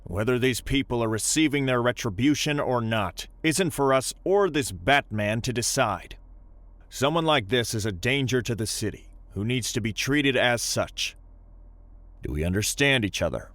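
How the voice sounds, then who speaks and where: A man playing a stern, dryly sarcastic police lieutenant in an audio drama, speaking with measured authority. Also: medium pitch, expressive, a bit bright, no echo, natural voice.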